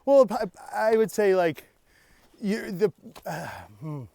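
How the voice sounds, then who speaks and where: Chatty man with a smooth, higher voice. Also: lively intonation, takes it slowly, warm tone, no room sound. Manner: chatty, rambling, laughs often. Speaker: podcast co-host. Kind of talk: casual chat on a hike. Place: outdoor mountain hiking trail.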